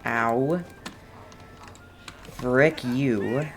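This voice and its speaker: Very low-voiced, unhurried woman gamer. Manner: playful, mutters reactions to herself. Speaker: game streamer. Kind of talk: casual playthrough commentary. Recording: faint noise, keyboard typing behind. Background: keyboard typing.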